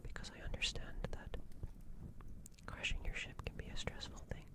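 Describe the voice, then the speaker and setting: A performer voicing a gentle, friendly alien in a breathy whispered ASMR roleplay, soothing and rambling, repeating words. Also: moderate pace, close to an everyday voice. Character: gentle friendly alien comforting a crashed human.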